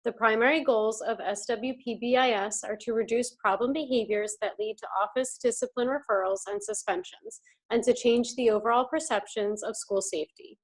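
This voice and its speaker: A woman from New Jersey, an instructor and life coach, giving a clear, formal overview in a prepared presentation. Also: fairly high pitch, moderate pace, rough recording. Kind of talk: reading a prepared class presentation.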